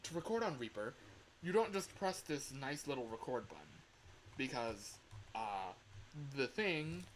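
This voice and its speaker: A man's voice: mid-range voice, big pitch swings, measured pace, a little hiss or hum, poor audio. A man hosting a casual livestream tutorial, talking in a chatty, rambling, informal way into a microphone. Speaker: livestream host. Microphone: microphone on a home streaming setup.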